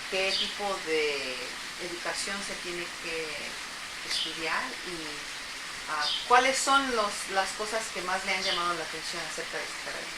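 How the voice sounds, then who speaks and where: A woman's voice: fairly low pitch, moderate pace, echoey room, heavy background noise. A woman interviewer asking careful, explanatory questions.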